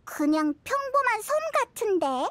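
Squeaky, very high little fairy girl. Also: big pitch swings, measured pace, fairly bright tone, no echo, full character voice. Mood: perky. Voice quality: squeaky, childlike. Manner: exaggerated, reactive. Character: small childlike floating fairy girl, perky and chatty. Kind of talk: video game voice acting.

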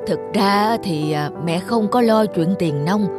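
A woman playing an elderly widowed mother in a cartoon, gentle, yielding and reflective, speaking hesitantly. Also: medium pitch, a little slow, lightly acted. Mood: wistful, reflective. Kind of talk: cartoon voice acting. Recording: music under the voice.

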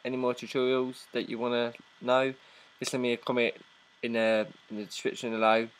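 Male tutorial presenter, mid-pitched voice, informal and slightly halting, explaining steps as he goes. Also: level tone, a little hiss or hum, poor audio. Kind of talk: casual software tutorial narration. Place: indoors, voice-over on a screen recording.

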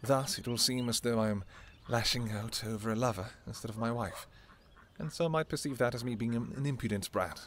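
A man's voice: medium pitch, brisk, fairly bright tone, a little hiss or hum, close to an everyday voice. A man playing a devoted royal vampire king in a romantic fantasy roleplay, speaking formally and intimately to his lover. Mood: wry, exasperated. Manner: formal, archaic, intimate phrasing.

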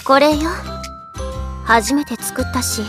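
An actress playing a haughty, cool but secretly soft-hearted young sorceress in a Japanese anime audio drama, curt and aloof. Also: female voice, high-pitched, slow pace, music in the background.

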